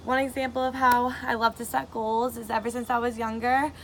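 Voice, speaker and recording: A woman's voice: a little high, little pitch movement, a little fast, gravelly, a little hiss or hum. A bubbly 22-year-old woman, chatting eagerly to camera in a casual audition video. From Massachusetts, USA. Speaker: reality show applicant.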